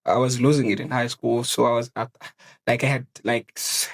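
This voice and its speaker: A young man from South Africa with a South African accent, telling his story in a relaxed, slangy podcast chat. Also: mid-range voice, normal speed. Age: young adult. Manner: relaxed, slangy, says bro a lot. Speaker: podcast co-host. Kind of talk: casual chat.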